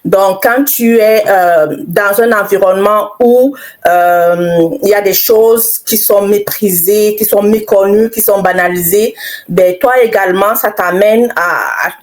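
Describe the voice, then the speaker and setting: A middle-aged woman from Cameroon giving personal testimony in an interview, halting and reflective, repeating phrases for emphasis. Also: medium pitch, a little mellow. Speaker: interview guest, author.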